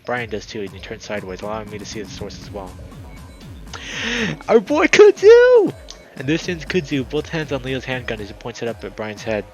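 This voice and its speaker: A man streaming a let's play, reading a visual novel aloud in a dramatic, excitable way, sometimes stumbling over words. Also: medium pitch, moderate pace, low-quality recording. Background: background music.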